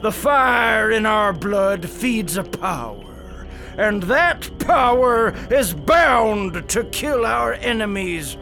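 Fanatical, high-pitched Southern colonel. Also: male voice, big pitch swings, creaky, bright, forceful tone, heavily acted. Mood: fervent, rousing. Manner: grandiose oratory, slow and fervent. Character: fanatical Confederate colonel, commanding and ruthless. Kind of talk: radio drama acting. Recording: music under the voice.

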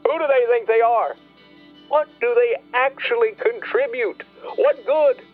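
An actor playing a proud, bitter rural farmer who grieves and broods in a halting, plain-spoken radio play monologue. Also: male voice, very high-pitched, phone-quality sound, soft background music. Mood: indignant.

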